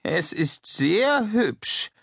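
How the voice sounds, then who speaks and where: A man voicing a polite, doubtful elderly widow in a measured, mild audiobook manner. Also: high voice, sing-song, vocal fry, fairly bright tone, slightly rough, no echo, soft background music, pitched above the performer's own voice, exaggerated voice.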